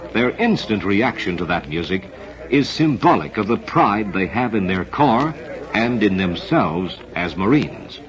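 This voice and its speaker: An American man announcing in a scripted old-time radio style, with formal, theatrical delivery. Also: fairly low pitch. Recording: dull, lo-fi vintage radio recording.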